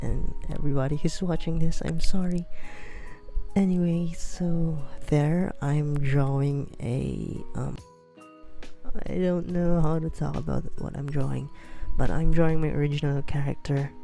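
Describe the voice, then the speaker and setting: Teen Filipino boy, English as second language, self-mocking drawing vlog narration, dark mellow tone over faint music. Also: fairly high pitch, steady tone, measured pace, gravelly. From Philippines. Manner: awkward, apologetic, self-mocking, many fillers. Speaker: beginner art vlogger. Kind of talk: rambling self-conscious voice-over while drawing. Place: house.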